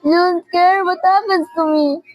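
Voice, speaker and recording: A woman's voice: very high voice, fairly even intonation, normal speed, no room sound, highs cut off, soft background music. A teenage girl playing a frantic, imperious, nervous housebound wife in an amateur radio drama, indignant and haranguing. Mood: desperate, pleading. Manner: indignant, haranguing, repeats phrases. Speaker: student performer.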